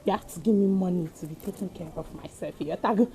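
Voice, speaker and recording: An actress with a Nigerian accent playing a flirtatious, demanding lover in a film drama, coaxing and teasing. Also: female voice, fairly low pitch, fast talker, vocal fry, dark tone, slight background noise.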